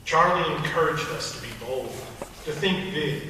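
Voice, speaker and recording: A man whose first language is Hungarian reads a prepared memorial tribute in a measured, earnest way, as an alumnus and trustee. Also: a little high, bright, forceful tone, slight background noise. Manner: measured, earnest reading pace. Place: large hall at a memorial service. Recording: distant echoey hall recording.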